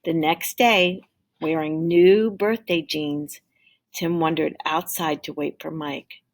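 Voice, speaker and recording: Low, creaky-voiced woman reading a storybook. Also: steady tone, slow. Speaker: teacher reading a picture book aloud. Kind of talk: expressive storybook read-aloud.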